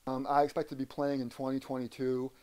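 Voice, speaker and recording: Mellow American man, earnest and halting. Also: medium pitch, completely flat delivery. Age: middle-aged or older. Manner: earnest, halting with repeated words. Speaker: interview guest, aspiring rock singer. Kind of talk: casual interview answers. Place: wooden sunroom indoors.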